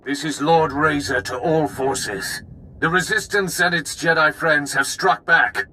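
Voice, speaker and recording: A man playing a menacing Sith lord rallying his troops in a video game, with a gravelly, forceful voice. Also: a little high, unhurried, a little hiss or hum. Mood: menacing, commanding.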